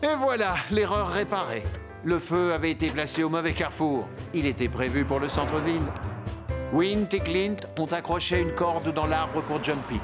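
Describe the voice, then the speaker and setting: A man as the storyteller of a children's cartoon, giving scripted narration in a clear, explanatory way. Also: on the high side, normal speed, music in the background, close to an everyday voice. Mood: reassuring.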